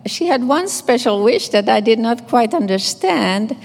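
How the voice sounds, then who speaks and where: An older woman from Finland with a Finnish accent, a nun reading scripture and giving a gentle, measured memorial tribute. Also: fairly high pitch, animated, vocal fry. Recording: echoey hall reverb.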